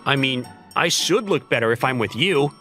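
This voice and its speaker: A man's voice: mid-range voice, lively intonation, moderate pace, bright, forceful tone, faint music behind. A man playing an awkward, modest young working man in a romcom manga dub, musing dryly and hesitantly about himself. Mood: musing, bemused. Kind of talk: manga dub voice acting with inner monologue.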